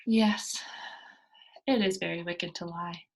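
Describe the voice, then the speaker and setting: A woman's voice: fairly low pitch, fairly even intonation, slow, pitched below the performer's own voice. A woman voicing a bold, boastful, free-spirited little girl in a storybook reading, with an emphatic, matter-of-fact delivery. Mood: sad, contrite.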